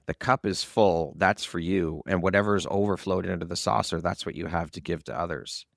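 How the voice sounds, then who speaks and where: Guy podcast host chatting with a guest, pretty deep voice, very dry sound. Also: steady tone, normal speed, vocal fry, dry recording. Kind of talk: conversational interviewing.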